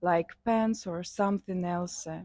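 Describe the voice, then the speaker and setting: Slow hesitant young Kazakh woman. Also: on the low side, fairly even intonation, dark tone, no echo. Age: young adult. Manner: hesitant, many fillers, simple non-native English. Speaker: interviewee, student. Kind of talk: street interview answers.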